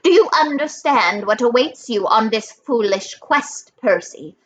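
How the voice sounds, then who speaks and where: A woman voicing an ancient female monster in a storybook who poses as a kindly grandmother, slow and purring with menace underneath. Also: on the high side, lively intonation, a bit bright, slight background noise. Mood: coaxing menace. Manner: slow, purring, coaxing, menacing when angered.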